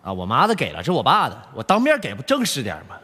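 A man's voice: mid-range voice, huge pitch swings, fast talker, sharp tone, slightly rough, big-room sound, exaggerated voice. A man playing an awkward, hesitant college-bound son who stammers. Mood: flustered. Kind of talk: stage comedy sketch acting.

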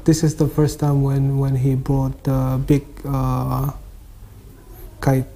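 A man who is a photographer answers interview questions in halting non-native English, repeating words and using fillers. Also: mid-range voice, level tone, creaky, mellow, rough recording.

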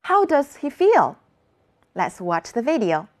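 A woman's voice: on the high side, wildly swooping pitch, takes it slowly. A woman teaching children an English lesson, modeling words for the class to repeat.

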